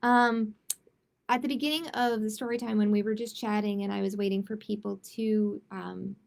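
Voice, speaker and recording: A woman's voice: medium pitch, fairly even intonation, normal speed, gravelly. A woman hosting a children's storytime, reading picture books aloud expressively and adding simple chatty asides with little check-in questions. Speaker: library storytime host.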